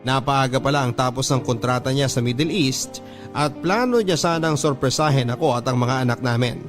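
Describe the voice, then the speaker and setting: A man's voice: mid-range voice, a little fast, music in the background, natural voice. A man playing a regretful cheating husband, narrating his confession in first person for a radio drama. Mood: regretful, reflective.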